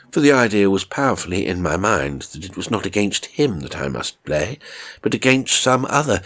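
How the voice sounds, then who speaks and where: A man's voice: low voice, a bit bright. A man reading a first-person horror story as scripted narration, dramatic and suspenseful in his delivery. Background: music.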